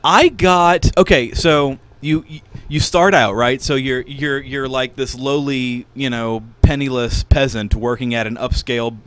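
A man's voice: mid-range voice, steady tone, unhurried, few pauses, a bit bright, smooth, no room sound. A man telling a story in a casual, comedic podcast style, rambling and wry, close to a studio microphone. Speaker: podcast co-host. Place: small indoor podcast studio. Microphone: close studio microphone with headphones.

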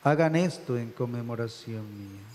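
Middle-aged priest praying in a reverberant church. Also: male voice, mid-range voice, expressive, darkish tone, a little hiss or hum. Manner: reverent, measured phrasing. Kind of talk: solemn liturgical prayer recitation. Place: church altar, indoors. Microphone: stand microphone at the altar.

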